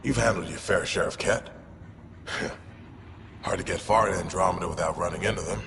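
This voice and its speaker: A man playing a gruff, pragmatic outlaw lieutenant in a video game, gravelly and terse. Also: low voice, normal speed. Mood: guarded. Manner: terse, clipped, matter-of-fact. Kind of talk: video game cutscene acting. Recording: long reverb, clear background noise.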